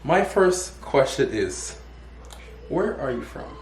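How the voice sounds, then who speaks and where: A man's voice: a little high, very animated, slow, darkish tone, a little hiss or hum. A young man hosting a casual interview, rambling and restarting his questions. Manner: rambling, restarts and repeats words. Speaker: host. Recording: echoey room.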